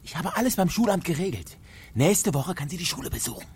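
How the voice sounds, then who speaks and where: An actor playing a middle-aged father in a radio play, pressing yet tender, with a husky voice. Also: high-pitched, big pitch swings, fast talker, faint music behind, heavily acted. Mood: insistent.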